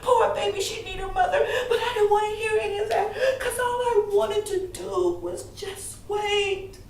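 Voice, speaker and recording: A woman performing a theatrical monologue as a grieving grown daughter, wounded and yearning, telling her story emotionally with deliberate dramatic pauses. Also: medium pitch, close to an everyday voice. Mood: aching, desperate. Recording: echoey room, distant mic, faint noise.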